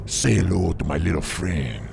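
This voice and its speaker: A man voicing a gruff fantasy warrior creature for a video game, with a gravelly growl and clipped, exaggerated comic delivery. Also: deep voice, animated, moderate pace, heavily acted. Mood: mocking. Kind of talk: video game unit voice lines. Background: music.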